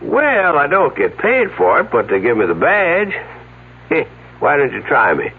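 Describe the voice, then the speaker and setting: An actor playing a good-natured, slow-witted Arizona deputy sheriff in a radio drama, hesitant and stammering. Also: male voice, fairly high pitch, wildly swooping pitch, husky, phone-quality sound, heavily acted. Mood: folksy, helpful. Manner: folksy, hesitant, stammering, deferential. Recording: noisy, band-limited old radio broadcast.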